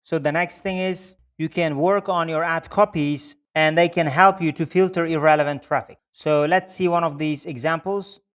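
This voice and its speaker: A man's voice: a little high, steady tone, normal speed, hardly any pauses, fairly bright tone. A man who speaks as a narrates a screen-share tutorial as a specialist presenter, explaining methodically step by step.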